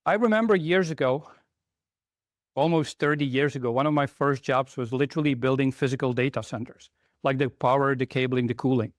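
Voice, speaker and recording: A man's voice: mid-range voice, a little fast, no echo, clean studio sound. A middle-aged man from Belgium giving a conference talk to a live audience, chatty and often asking the room questions. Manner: conversational, asks the audience questions. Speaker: conference speaker, technical trainer.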